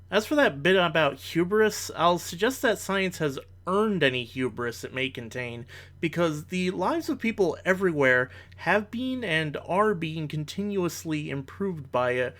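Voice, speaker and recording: A man's voice: on the high side, fairly bright tone. A man giving argumentative reaction commentary in formal, analytical language, as a video creator.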